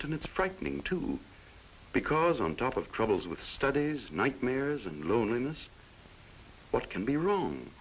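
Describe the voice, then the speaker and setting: A man's voice: mid-range voice, slight background noise, poor audio. A man narrating a 1940s training film in a folksy, dramatic storytelling way on an old film soundtrack.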